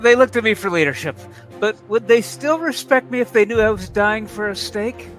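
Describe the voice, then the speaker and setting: Man as a high-voiced hero team leader. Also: lively intonation, moderate pace, fairly bright tone, soft background music. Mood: self-doubting. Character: prankster deep sea diver, self-styled team leader. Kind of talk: comic book table read.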